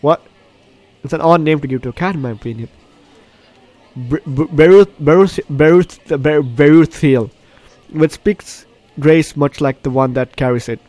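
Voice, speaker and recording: A man's voice: a little high, moderate pace, a little mellow, no room sound. A man hosting a game playthrough, reading the story aloud with reactions, often stumbling and correcting his words. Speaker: gaming video host. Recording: game music behind the voice. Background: game music.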